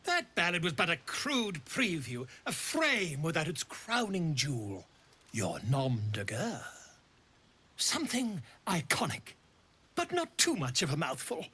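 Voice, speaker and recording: A man's voice: a little high, wildly swooping pitch, a little slow, bright, forceful tone, husky, exaggerated voice. A man voicing a pompous, theatrical bard and scholar in a fantasy game, with florid, verbose delivery. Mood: jovial, grandiose. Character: flamboyant, pompous bard and self-styled scholar, grandly theatrical.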